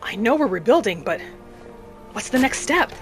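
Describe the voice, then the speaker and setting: A woman voicing a vengeful young orphaned vampire hunter, narrating a video game in character with earnest drama and breathy asides. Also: on the high side, very animated, moderate pace. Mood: determined. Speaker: roleplay gamer narrating in character. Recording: music behind the voice. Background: game music.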